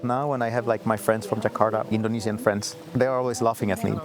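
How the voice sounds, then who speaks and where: A man's voice: lowish voice, flat delivery, fast talker, over music. A man being interviewed, telling his story loosely, with many restarts and fillers. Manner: loose, filler-heavy talk with frequent restarts.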